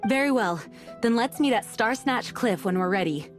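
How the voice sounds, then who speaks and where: Dutiful young game knight , female actor, polite, composed, slightly high voice, light music behind. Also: expressive, normal speed, lightly acted. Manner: formal, composed. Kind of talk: video game character acting.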